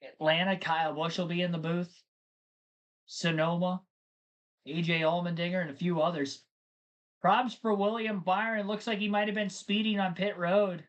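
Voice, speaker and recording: A man's voice: sits high, fairly even intonation, moderate pace, muffled recording. A man hosting a live race stream, chatting casually and rambling to his viewers between race updates. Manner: chatty, rambling, talks to chat.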